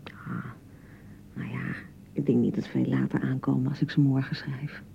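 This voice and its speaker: An actress with a Dutch accent playing a young, anxious newlywed bride in a radio drama, touchy and fretful, chattering and repeating herself. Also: female voice, a bass voice, flat delivery, moderate pace, creaky, mellow, muffled recording, a little hiss or hum, full character voice. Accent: Dutch (Netherlands). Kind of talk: naturalistic radio drama acting.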